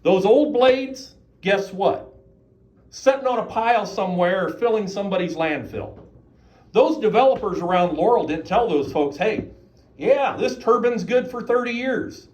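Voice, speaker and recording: A man's voice: high voice, lively intonation, normal speed, darkish tone, poor audio. A man speaking emphatically at a county meeting, using rhetorical questions. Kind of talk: public comment at a meeting.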